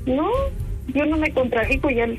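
A woman's voice: sits high, moderate pace, soft background music. A woman giving evasive, defensive, hesitant answers in a spontaneous phone conversation. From Hidalgo, Mexico.

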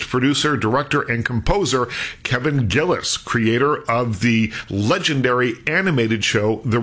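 A man's voice: medium pitch, a bit bright. A man hosting an interview program, reading polished introductions and asking questions. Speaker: radio show host.

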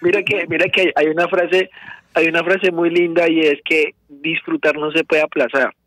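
A man's voice: a little high, little pitch movement, moderate pace, a bit bright, dry recording, low-quality recording. A man, a salsa teacher, answering as a guest in a radio studio interview in an earnest storytelling way, often repeating words.

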